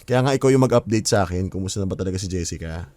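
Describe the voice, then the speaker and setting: A man's voice: sits low, very fast, a little mellow, lightly acted. An actor playing a curious, pushy young man in a radio drama, with an inquisitive, pressing way of talking. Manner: inquisitive, pressing, casual Taglish.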